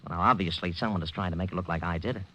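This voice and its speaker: An actor playing a nervous, defensive gossip columnist with an American accent, agitated and insistent, in old radio drama. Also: male voice, low voice, very fast, bright, forceful tone, lo-fi sound. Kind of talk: 1940s-50s radio crime drama acting.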